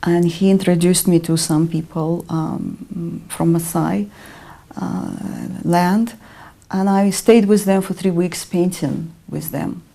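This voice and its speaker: A middle-aged woman from Russia with a Russian accent, answering interview questions in a measured way with frequent ums. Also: lowish voice, fairly even intonation, gravelly, mellow. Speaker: interview guest, artist and educator.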